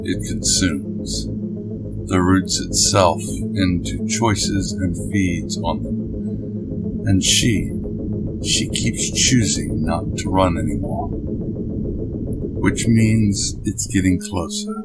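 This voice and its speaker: An actor playing an ancient, dangerous male being, speaking in a slow, grave, measured confession over music. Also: low voice, full character voice, rough recording. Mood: brooding. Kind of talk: audio drama monologue. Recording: music bed behind voice.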